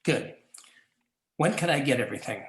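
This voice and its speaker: A man's voice: medium pitch, lively intonation, moderate pace, lightly acted. A man playing a lecherous, scheming crooked policeman in a campy 1940s radio noir on an echoey stage. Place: live community theatre stage performance.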